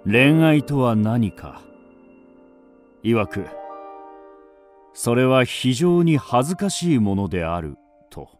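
Slow, expressive male writer-narrator. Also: mid-range voice, faint music behind. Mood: opinionated, wry. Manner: deliberate, argumentative, dryly ironic. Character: wry, self-assured male writer narrating his memories. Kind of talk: scripted radio drama narration.

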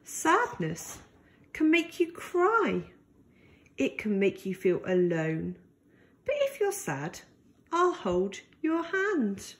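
A woman reads a picture book aloud for small children, with a high voice that rises and falls in lively swoops. She takes her time, very slowly and deliberately, keeping the delivery gentle and expressive. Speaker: storyteller for young children.

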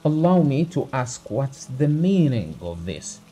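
A man's voice: mid-range voice, big pitch swings, a little slow, warm tone, breathy edge, pitched above the performer's own voice. A man voicing a grieving, exhausted doctor in a dramatized audiobook, protesting formally and indignantly. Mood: indignant grief.

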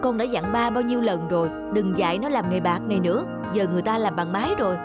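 A woman voicing a stern, doubting father in an animated moral story, with a blunt parental manner. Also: medium pitch, fast talker, over loud music, close to an everyday voice. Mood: scolding. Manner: scolding, blunt.

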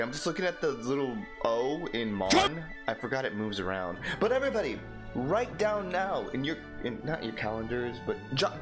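Goofy energetic young man gaming. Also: medium pitch. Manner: energetic, goofy, quick reactions. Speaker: let's play host. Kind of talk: casual gameplay commentary. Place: small home room. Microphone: studio mic on a boom stand. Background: game music.

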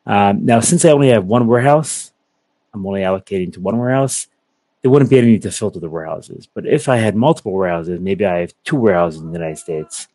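A young man giving a methodical, step-by-step software walkthrough over a video call. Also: lowish voice, animated, quick pace, no echo. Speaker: software product presenter. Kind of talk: screen-share software walkthrough.

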